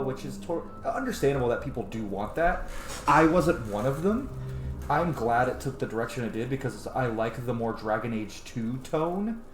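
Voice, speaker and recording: Man in an echoey room, gaming commentary. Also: mid-range voice. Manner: rambling, opinionated, frequent swearing. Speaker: let's play host. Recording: echoey room, noisy game ambience. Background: video game ambience.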